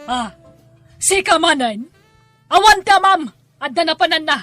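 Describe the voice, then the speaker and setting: A woman's voice: very high-pitched, lively intonation, unhurried, pushed and bright, soft background music, exaggerated voice. An actress playing a stubborn, bitter middle-aged wife and stepmother in an Ilocano drama, with an Ilocano accent. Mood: curt, evasive. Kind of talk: Ilocano radio-style drama acting.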